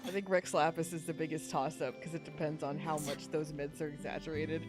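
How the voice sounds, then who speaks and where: A woman's voice: deep voice, level tone, fairly quick, over music. A woman co-hosting a podcast, giving casual, chatty commentary on a video game. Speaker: podcast co-host.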